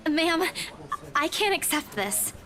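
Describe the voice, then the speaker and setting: Young female estate agent, acted by a woman: very high voice, hesitant, courteous, nervous, visual novel dialogue. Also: big pitch swings, slow pace, bright, forceful tone, exaggerated voice. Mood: nervous, polite. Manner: hesitant, deferential.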